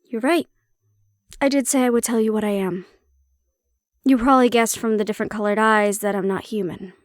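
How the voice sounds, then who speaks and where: A woman's voice: medium pitch, steady tone, fairly quick, no echo, studio quality, close to an everyday voice. A woman playing a prickly, guarded banshee-siren hybrid in an intimate audio roleplay, explaining herself conversationally with some teasing. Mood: guarded, explanatory.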